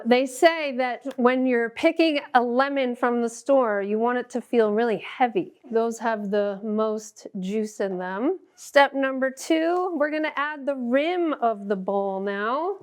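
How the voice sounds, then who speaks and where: A woman's voice: fairly high pitch, clear, resonant tone. A middle-aged woman hosting and teaching a step-by-step drawing lesson, patient and encouraging. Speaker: art teacher and show host.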